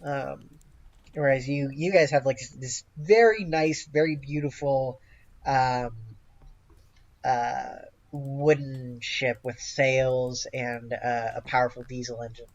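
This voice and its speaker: A game master improvising narration for a tabletop game in a hesitant, halting way. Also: mid-range voice, fairly even intonation. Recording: dull, lo-fi voice recording.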